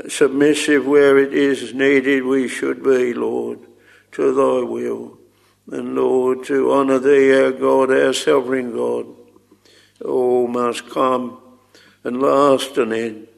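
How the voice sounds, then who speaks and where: Man, solemn prayer, very gravelly, flat pitch. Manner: solemn, archaic thee and thou, halting with pauses. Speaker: leading public prayer. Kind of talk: extempore spoken prayer.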